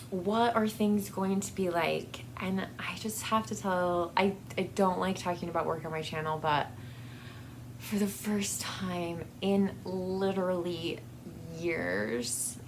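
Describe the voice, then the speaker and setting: A woman giving a casual, rambling personal update to her viewers in a halting, effusively grateful way, recorded in a basement. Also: medium pitch, a little hiss or hum, rough recording. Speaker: book channel vlogger. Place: basement with air conditioning running.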